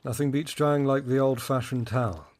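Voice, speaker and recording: A man's voice: medium pitch, moderate pace, darkish tone, natural voice. An actor playing a cautious, helpful young man in an audio drama, explaining things earnestly and easily flustered.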